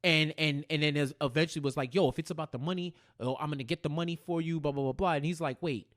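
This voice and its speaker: An American man co-hosting a casual, animated TV recap, talking in slangy, repetitive phrases and acting things out. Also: a little high, fast. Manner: acts out characters' lines in slangy speech, repeating phrases for emphasis. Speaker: podcast co-host.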